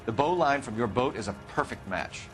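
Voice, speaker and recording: An American actor playing a stern, driven homicide detective in a video game, questioning in a clipped, formal, probing way. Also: male voice, mid-range voice, animated, a little fast, faint music behind, natural voice. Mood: accusing, hard. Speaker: police detective. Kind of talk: video game dramatic acting, interrogation.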